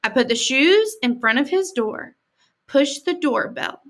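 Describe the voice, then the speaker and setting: Female storyteller, American, high-pitched, unhurried and expressive, drawing words out for young listeners. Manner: dramatic emphasis, drawn-out words, informal asides to listeners. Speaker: read-aloud storyteller, teacher-like host. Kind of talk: expressive picture-book read-aloud with chatty asides.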